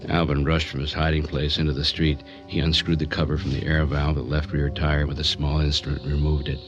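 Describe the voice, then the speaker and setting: A man narrating a crime story in a radio drama, in a grave, measured, matter-of-fact way. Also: rumbling low, little pitch movement. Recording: muffled radio recording with music underneath.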